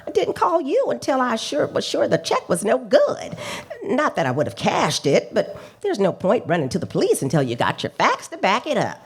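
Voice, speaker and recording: A feisty, opinionated female witness acted by a woman in a radio police play. Her pitch leaps about dramatically and has a rough, husky edge. She talks in emphatic, chatty, clipped bursts. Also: huge pitch swings, normal speed, heavily acted. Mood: defensive, emphatic. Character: feisty, talkative female check-forgery witness, indignant and opinionated.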